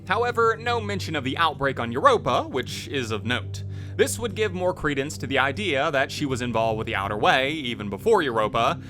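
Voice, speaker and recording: A man hosting a video, delivering scripted review narration into a close voice-over mic. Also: mid-range voice. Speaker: host, game reviewer. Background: faint music.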